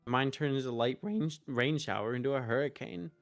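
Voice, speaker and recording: A woman streaming a visual novel, reading narration and dialogue aloud expressively, halting in places. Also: extremely low pitch. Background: game music.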